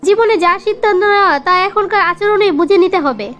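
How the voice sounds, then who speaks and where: An extremely high, girlish cartoon girlfriend played by a woman with a Bangladeshi accent. She talks very quickly, nagging and reproachful in a sulky breakup, with music underneath. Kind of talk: cartoon comedy dialogue.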